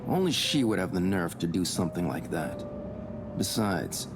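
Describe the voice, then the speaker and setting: A dutiful provincial police investigator from a period mystery game. His voice is on the low side and respectful in manner, with music clearly playing behind it. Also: big pitch swings, normal speed. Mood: uneasy, frank. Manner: respectful, earnest. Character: deferential, dutiful local male police investigator. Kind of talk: scripted visual novel voice acting.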